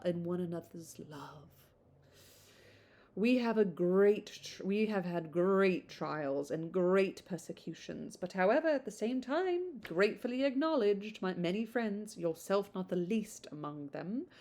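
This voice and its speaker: A woman's voice: medium pitch, warm tone, close to an everyday voice. Woman reading as a politely ingratiating young letter-writer.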